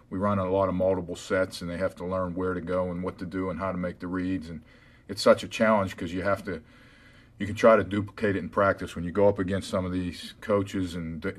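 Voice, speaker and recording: A man with a very deep voice and an American accent answers reporters' questions on a media call. He is a football head coach, and he phrases his answers in a measured, hesitant way.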